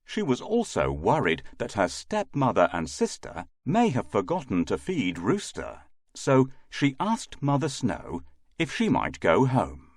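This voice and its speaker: Casting: male fairy-tale narrator for children, unhurried, hugely varied intonation, dry close sound. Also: medium pitch, wildly swooping pitch, dry recording. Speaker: storyteller, narrator.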